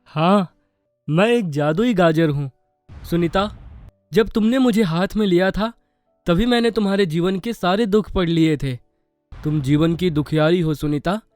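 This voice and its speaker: A high-pitched, friendly magical carrot played by a man from a cartoon moral story. It speaks emphatically and theatrically, pleading and generous by nature. Music plays behind the dry, close recording. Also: a little mellow, dry recording. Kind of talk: animated moral story acting.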